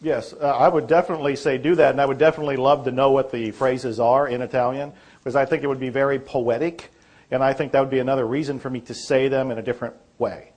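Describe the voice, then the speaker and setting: A man's voice: mid-range voice, fairly even intonation, fast, a little mellow. A man presenting a seminar and answering audience questions in a friendly, conversational way in a meeting room. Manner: friendly, conversational, chuckles easily. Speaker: presenter and author.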